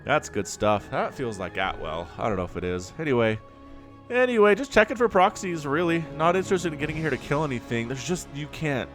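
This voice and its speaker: Solo caster with a cold, sniffly; animated, chatty, wide-ranging pitch, faint music bed. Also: male voice. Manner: chatty and animated, goes off on jokey tangents. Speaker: StarCraft shoutcaster. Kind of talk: solo game commentary.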